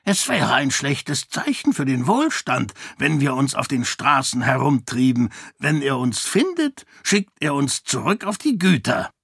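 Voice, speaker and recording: A man voicing an old, half-senile male beggar with a croaky, rambling manner. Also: mid-range voice, big pitch swings, measured pace, few pauses, a bit bright, no echo, clean studio sound, heavily acted. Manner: rambling, humble.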